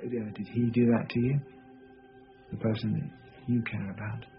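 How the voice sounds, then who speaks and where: An actor playing a wry British detective. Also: male voice, fairly low pitch, level tone, measured pace, phone-quality sound, soft background music, close to an everyday voice. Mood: confiding. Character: sharp, blunt male consulting detective. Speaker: consulting detective. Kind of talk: TV drama acting.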